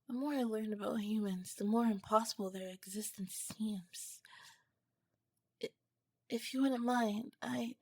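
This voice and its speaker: A woman plays a female alien scientist falling in love, in an intimate ASMR roleplay. Her voice is breathy and whispery, close and dry on the mic. She talks softly in formal, curious, slightly halting phrases. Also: a little high, no echo. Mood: awed, curious. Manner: soft, halting, formal wording.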